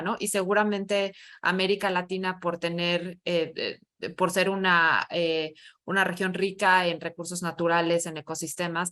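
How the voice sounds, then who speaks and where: Woman, panel moderator, low pitch, calm conversational delivery.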